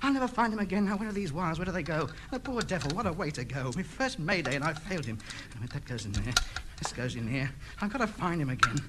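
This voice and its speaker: A pompous English radio ham, speaks in a very high, hurried voice. He is hapless, flustered and quick to take offence. It sounds like a noisy old sitcom recording. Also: animated. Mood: frantic, dejected. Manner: pompous, flustered, easily affronted. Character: pompous, indignant, hapless amateur radio operator. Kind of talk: broadcast sitcom acting.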